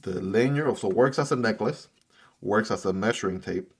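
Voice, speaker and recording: Upbeat guy reviewing gear, spanish is his first language, kinda low voice, says "right?" a lot. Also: normal speed, slightly rough. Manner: chatty, upbeat, often adds "right?". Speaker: product reviewer. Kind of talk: casual unboxing review.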